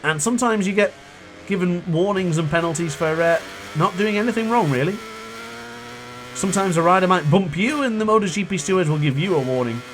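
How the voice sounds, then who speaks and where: A young man with a cold-hoarsened voice, chatting and thinking aloud while he commentates his own racing game play. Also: high voice, lively intonation, a little fast, fairly bright tone, a little hiss or hum. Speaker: gaming youtuber. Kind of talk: live gameplay commentary.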